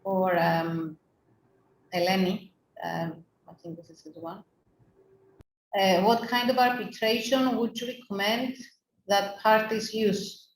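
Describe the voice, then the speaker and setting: A woman's voice: medium pitch, flat delivery, slow pace, pauses often. A woman moderating a webinar over a video call, hesitant, with many fillers and restarts. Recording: roomy room sound, faint background noise.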